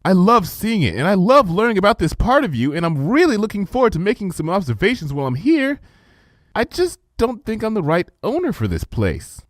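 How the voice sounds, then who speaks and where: A man's voice: sits high, very animated, brisk, no echo, clean studio sound, voice pushed up. A man voicing an earnest, bookish, anxious young female pony in a storybook reading, polite and careful in manner. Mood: apologetic. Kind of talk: storybook fan fiction character reading.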